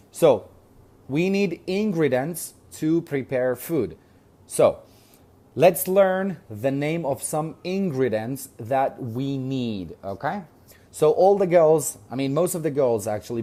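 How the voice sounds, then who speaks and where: A man's voice: medium pitch, warm tone. A man with a Kurdish accent teaching English in an online lesson, patiently repeating words, spelling them out letter by letter and checking in with "okay?".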